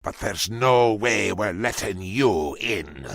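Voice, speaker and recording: A man playing a bitter, defiant dwarf warrior in a video game, gruff and indignant. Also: on the low side, animated, takes it slowly, fairly bright tone, no room sound, clean studio sound, full character voice. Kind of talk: dramatic video game acting.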